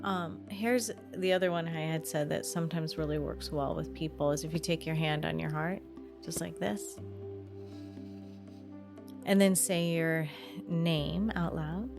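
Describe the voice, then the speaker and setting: A woman co-hosting a podcast, gently guiding and asking curious questions in casual chat. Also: sits low, steady tone, a little slow, vocal fry, music in the background. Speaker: podcast co-host, coach.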